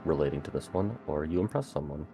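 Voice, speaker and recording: A man's voice: very deep voice, lively intonation, fast talker, vocal fry, warm tone, faint music behind. A man playing a tabletop roleplaying game, chatting casually about his moves.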